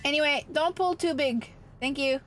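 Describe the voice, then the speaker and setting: A woman's voice: high-pitched, moderate pace, a bit bright, soft background music. A woman livestreaming an online game with casual, playful, salty commentary, muttering to herself as she plays. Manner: playful, foul-mouthed mock threats and muttering to self.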